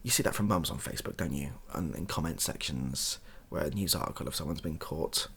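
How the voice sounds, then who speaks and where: A softly spoken British man improvising a monologue as a video host, hesitant, thinking aloud and correcting himself as he goes. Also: extremely low pitch, fairly quick, creaky, husky. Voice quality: soft-spoken.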